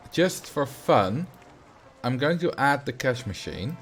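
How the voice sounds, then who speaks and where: Man, Dutch accent, middle pitch, casual game-stream chatter, reads chat aloud, faint music behind. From Netherlands. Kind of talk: casual livestream commentary while playing a game.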